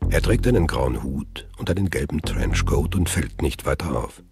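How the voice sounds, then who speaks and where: An actor as the grave narrator of a thriller radio play, setting scenes in a measured way. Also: male voice, extremely low pitch, expressive, gravelly, music in the background, full character voice. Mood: measured, suspenseful.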